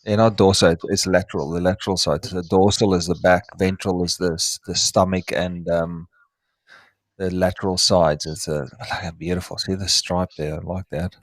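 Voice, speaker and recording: A man's voice: sits low, little pitch movement, moderate pace, no echo. A man with an Afrikaans accent, Afrikaans his first language, chatting casually as co-host and often restarting as he hunts for words. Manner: hesitant, hunting for words, frequent restarts. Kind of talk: casual chat.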